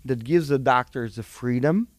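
Man, Ukrainian accent, middle-aged, medium pitch, emphatic, conversational interview, dry recording. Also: moderate pace, no echo. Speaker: surgeon, interview guest.

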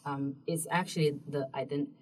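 Low-voiced woman with a Mandarin Chinese accent. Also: fairly even intonation. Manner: thoughtful, explaining with many um pauses. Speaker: guest. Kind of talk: casual explanatory chat. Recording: distant mic in a room.

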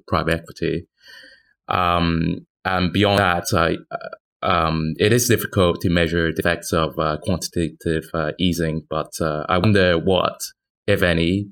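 A man speaking as a podcast guest, giving market commentary in a tentative, careful, halting way. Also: low-pitched, fairly bright tone. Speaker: podcast guest, financial analyst or reporter.

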